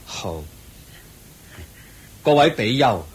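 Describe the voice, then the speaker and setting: An actor playing the Buddha, a calm and compassionate teacher who speaks gently and patiently, in a radio play. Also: male voice, mid-range voice. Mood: serene. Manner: gentle, patient, measured preaching. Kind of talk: Buddhist radio drama acting.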